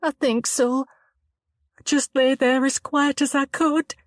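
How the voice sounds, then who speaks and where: A frightened young woman speaks haltingly, her voice higher than most and trembling, as a woman reads her part. The sound is dry and close, with no room around it. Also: steady tone, no echo. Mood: upset. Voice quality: trembling, halting and upset, rising higher when distressed. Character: young woman, shaken and tearful after an attack. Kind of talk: dramatized audiobook reading.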